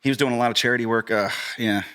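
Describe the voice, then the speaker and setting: A man's voice: medium pitch, steady tone, rapid-fire, bright, forceful tone, smooth. A man co-hosting a podcast in casual chat, asking questions in an informal, easygoing manner. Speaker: podcast host.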